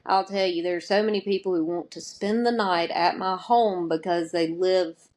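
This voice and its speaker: A woman's voice: lowish voice, steady tone, moderate pace, darkish tone. A woman from Mississippi, speaking as an interview guest in an earnest, plainspoken way. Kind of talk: casual interview.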